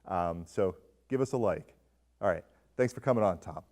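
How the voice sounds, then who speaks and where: A man's voice: sits low, animated, normal speed. A man hosting a casual film discussion, talking in a rambling way full of asides and questions. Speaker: podcast host.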